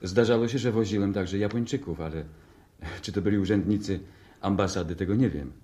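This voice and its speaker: Man acting guarded chauffeur, low dark mellow voice, terse, evasive, vintage radio play. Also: fairly quick, natural voice. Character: reserved, evasive male chauffeur, war veteran. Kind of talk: 1960s Polish radio drama acting.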